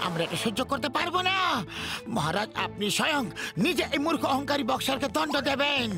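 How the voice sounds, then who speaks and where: A pushy, scheming cartoon courtier, very high and creaky. The delivery is indignant and declamatory, with pitch jumping about over background music. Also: male voice, big pitch swings, brisk, heavily acted. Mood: indignant, boastful. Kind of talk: cartoon dub acting.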